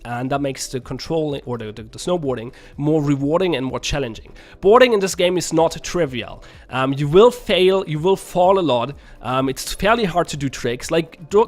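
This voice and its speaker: A young man, a little sick, casually commenting on a game as he plays, rambling and correcting himself often. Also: a little high. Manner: rambling, self-correcting, laughs at own plays. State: a little sick, voice slightly rough. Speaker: game reviewer.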